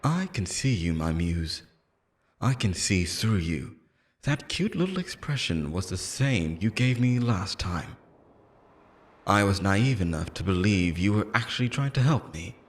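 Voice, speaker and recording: A man's voice: low-pitched, big pitch swings, unhurried. A man playing an obsessive immortal lover in an audio roleplay, theatrical and intense, shifting between pleading tenderness and menace. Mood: taunting, menacing. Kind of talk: dramatic ASMR-style audio roleplay monologue.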